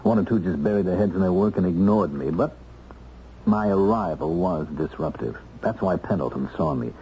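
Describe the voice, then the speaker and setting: A low-voiced man, an earnest ex-convict husband in a radio play, measured and wry with small hesitations. The old recording is dull and a little noisy. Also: expressive. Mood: earnest, intent. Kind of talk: radio drama acting.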